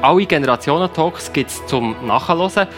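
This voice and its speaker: A man hosting and moderating a generational talk show, asking calm, low-key questions. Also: moderate pace, music in the background. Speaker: podcast host, moderator.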